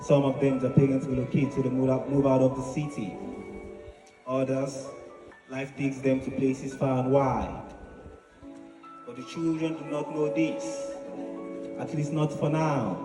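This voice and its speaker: Male monologist, solemn declamatory oratory, measured phrasing; roomy, slightly distant recording over music. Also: medium pitch, level tone. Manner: solemn, deliberate, declamatory with pauses. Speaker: spoken word monologist. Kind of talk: poetic dramatic monologue, oratory. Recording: echoey, distant voice with music behind.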